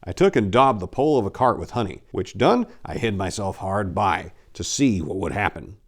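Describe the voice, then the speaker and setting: A man's voice: deep voice, animated, moderate pace. A man voicing a boastful, gallant aristocratic adventurer, telling tall tales in a grand, theatrical storybook manner. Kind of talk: dramatic reading of an old storybook.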